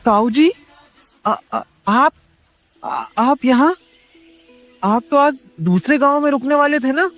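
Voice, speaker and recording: A frightened young man in a cartoon horror story, hesitant and full of questions, voiced by a man. He speaks high and slowly, with faint music underneath. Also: animated, fairly bright tone, heavily acted. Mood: shaken. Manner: hesitant, stammering, asks questions. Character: young family man, shaken and bewildered. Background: faint background music.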